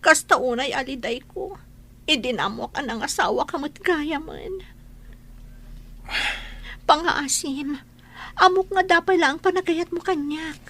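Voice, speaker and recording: High-voiced pleading mistress acted by a woman. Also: unhurried, long pauses, pushed and bright, full character voice. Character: lovesick, pleading mistress clinging to a married man. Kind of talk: audio drama acting.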